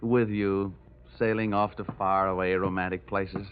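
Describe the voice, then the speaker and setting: An American actor playing a rugged, wisecracking insurance investigator in a vintage radio drama, wry and hard-boiled. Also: male voice, low voice, level tone, moderate pace, lightly acted. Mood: smooth, flirtatious. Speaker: lead actor and narrator. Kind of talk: 1940s-50s radio detective acting. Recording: muffled vintage radio recording with music.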